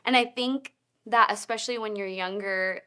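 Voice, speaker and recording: A woman's voice: medium pitch, steady tone, normal speed, pushed and bright, clear, resonant tone. A woman in her late twenties, a guest in a casual faith chat, telling her story in an earnest, reflective way. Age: 20s.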